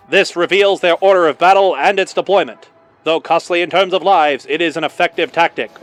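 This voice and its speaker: A high-pitched, declamatory wartime newsreel announcer in the 1940s manner, put on by a man. He delivers patriotic narration with rousing drama, and music plays behind him. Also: lightly acted. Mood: grave, urgent.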